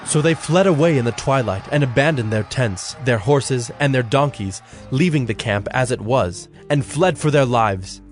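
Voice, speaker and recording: A man reads scripture as a narrator in a solemn, measured style. His voice is mid-pitched, with faint music behind it. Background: soft background music.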